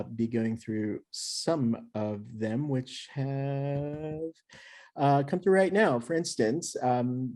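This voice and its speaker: A man moderating a panel talk, asking long questions with many pauses and fillers. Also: medium pitch, warm tone. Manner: halting, many fillers, encouraging.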